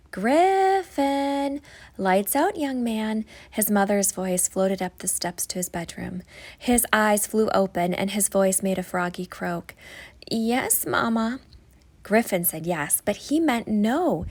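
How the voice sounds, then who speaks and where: A woman with a soft-edged, breathy voice narrates a children's bedtime story. Her pitch sits in a medium range, and she draws phrases out dramatically for young listeners. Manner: dramatic, drawn-out storybook delivery. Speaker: storyteller reading a children's book.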